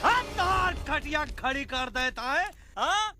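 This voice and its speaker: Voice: sits high, expressive, measured pace, music in the background. An actor voicing a cocky, taunting cartoon animal in colloquial, exclamatory Hindi with a Bihari accent. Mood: gloating, taunting. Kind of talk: animated film comedy acting.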